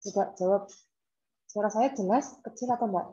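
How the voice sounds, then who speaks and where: A woman's voice: mid-range voice, a little fast, mellow. A woman lecturer from the of Indonesia, teaching an online class informally with examples and repetition over a laptop video call. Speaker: university lecturer. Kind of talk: online lecture, explaining and asking students. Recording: dull, lo-fi video call audio.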